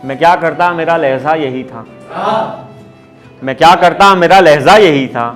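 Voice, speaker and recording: Male poet, slightly high voice, declaiming ghazal couplets live, repeating lines with growing force, clear instrumental backing. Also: a little slow, fairly bright tone, faint music behind. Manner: declaiming, repeats each couplet with rising emphasis. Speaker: poet at an open mic. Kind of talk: ghazal recitation. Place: open mic stage in Mumbai. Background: musical instrument playing along.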